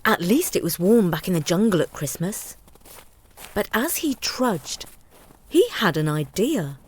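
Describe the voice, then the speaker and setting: A woman narrating a bedtime story for children in an expressive, deliberate storyteller's manner. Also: on the low side, husky.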